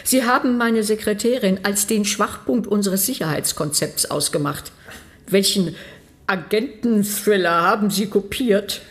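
A woman's voice: medium pitch, unhurried, close to an everyday voice. An actress playing a brusque, sardonic middle-aged female official in a radio drama, dry and impatient. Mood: sardonic, stern. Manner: dry, sarcastic, impatient, commanding. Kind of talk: modern radio drama dialogue.

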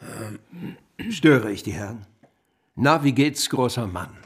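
Casting: jovial gentleman investor, husky, swooping intonation, effusive flatterer, period radio play. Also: medium pitch, slow. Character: jovial, ingratiating society gentleman investor, glibly loyal. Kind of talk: German radio drama acting, historical.

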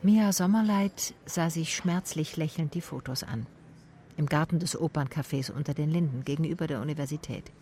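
A woman's voice: low voice, normal speed, dark tone, a little hiss or hum. An actress playing a sober private detective who narrates her case in a radio drama, in laconic, observant short sentences.

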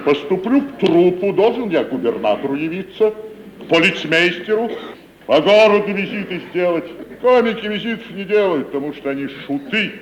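A man's voice: high-pitched, expressive, brisk, roomy sound. An actor playing a bossy, grandiose wandering male tragedian in a 1950s radio play, pompous, blunt and condescending. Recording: dull, faintly noisy 1950s radio recording.

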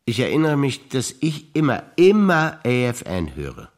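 An older German man, a stage actor, calmly reciting personal memories one line at a time in a theatre piece. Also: medium pitch. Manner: measured, dry recounting of memories, trading lines.

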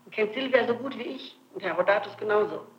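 A woman's voice: mid-range voice, fast. Muffled old tape of a defensive woman. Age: middle-aged. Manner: insistent, defensive, breaking off and repeating phrases. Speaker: suspect being questioned. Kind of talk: recorded interrogation, answering questions. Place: indoor room, archival interrogation tape. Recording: muffled, noisy 1960s tape in an echoey room.

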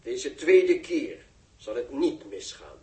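A man acting a solemn, rhetorical broadcast commentator in a 1960s radio drama, relayed as if by loudspeaker, with a Netherlands Dutch accent. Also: medium pitch, animated, slow pace, a little hiss or hum. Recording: echoey, distant old radio recording.

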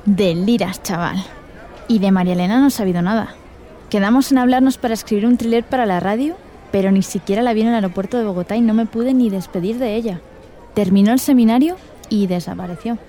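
Radio drama lover, female role for an actress, Castilian accent from Spain, relaxed dark timbre, playful and flirty. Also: mid-range voice, fast, natural voice. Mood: mock indignant. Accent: Spanish, from Spain. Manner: teasing, coquettish. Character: playful, teasing Spanish woman flirting with a lover. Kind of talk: radio drama acting, intimate phone conversation.

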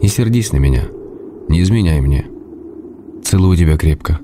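An actor reading a writer's tender letters to his wife in a radio play, gently wry and unhurried. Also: very deep voice, expressive, noisy background.

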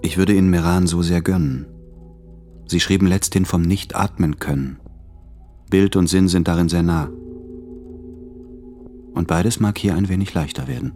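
An actor playing a brooding, tender man of letters in a German radio play, measured and reflective in a very deep, gravelly voice. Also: warm tone, faint music behind, close to an everyday voice.

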